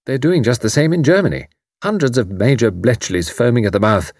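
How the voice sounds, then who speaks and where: A man's voice: mid-range voice, animated, a little fast, a little mellow, no room sound, studio quality, voice pushed up. A man voicing a sensible, kindly British woman, robust and earnest in her reassurance. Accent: British English. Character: brisk, kindly, sensible Englishwoman in wartime.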